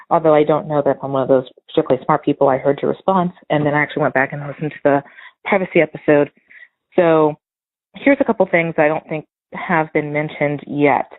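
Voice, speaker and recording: A woman's voice: sits low, steady tone, fairly quick. An American woman leaving a casual voicemail as a listener calling in, earnest and a little hesitant as she thinks aloud. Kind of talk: casual spoken voicemail commentary. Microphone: phone. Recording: muffled phone-line voicemail.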